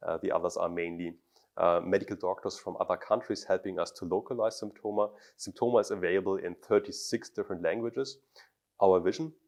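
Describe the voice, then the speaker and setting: Very low-voiced man in his thirties. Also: darkish tone. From Germany. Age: 30s. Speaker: doctor and startup co-founder. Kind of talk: interview answers to camera. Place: office meeting room indoors. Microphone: lapel mic.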